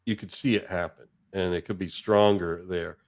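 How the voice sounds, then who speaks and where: A man chatting casually about films in a halting way, thinking aloud as he goes. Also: sits low, fairly even intonation. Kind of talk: casual film discussion.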